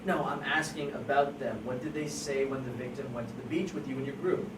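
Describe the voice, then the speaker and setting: A performer at an amateur table read playing an agitated police officer who presses suspects with halting, repeated questions. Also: mid-range voice, natural voice. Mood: agitated, demanding. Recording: distant, echoey room, noisy.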